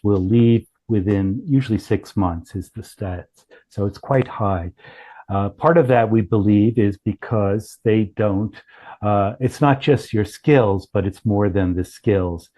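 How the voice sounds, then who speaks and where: A man's voice: fairly low pitch, warm tone, rough recording. A man giving an informal product presentation as a company founder, explaining things with frequent self-corrections.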